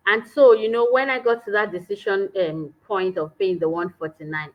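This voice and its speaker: A woman's voice: mid-range voice, fairly even intonation, moderate pace. A woman from Nigeria joining a livestream as a guest, who laughs often and says "you know" a lot. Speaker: guest business partner. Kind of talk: casual livestream chat. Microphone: video call. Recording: dull lo-fi call audio.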